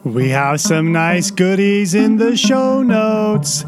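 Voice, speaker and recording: A man with a notably high voice hosts a podcast. He presents material to listeners and interviews guests. Music plays clearly behind his voice. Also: big pitch swings, slow pace. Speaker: podcast host.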